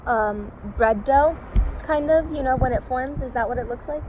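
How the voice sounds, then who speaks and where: A woman hosts a relaxed chat and jumps in often with short reactions. Her voice sits somewhat high. Clear city traffic noise carries under her voice. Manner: chatty, quick interjections and laughs. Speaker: host. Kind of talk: casual chat. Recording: noisy street with passing traffic.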